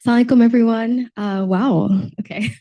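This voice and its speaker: A woman's voice: a little high, fairly even intonation, a little fast, warm tone. A woman in her twenties from Texas, a junior diplomat on a panel, sounding slightly sick from a cold and telling her story earnestly with frequent fillers. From Texas, United States. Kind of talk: panel discussion.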